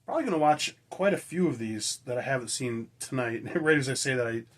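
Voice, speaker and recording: A raspy man, hoarse from being sick, from Michigan, chatting enthusiastically and rambling as a movie-collecting vlogger. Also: mid-range voice, animated, a little fast. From Michigan, USA. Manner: enthusiastic, rambling, frequent fillers and mild swearing. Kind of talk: casual chat to camera.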